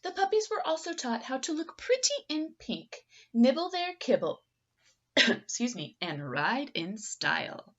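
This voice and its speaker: A woman's voice: high-pitched, very animated, measured pace, a bit bright, dull audio. A woman reading a children's picture book aloud expressively and theatrically, engaging young listeners.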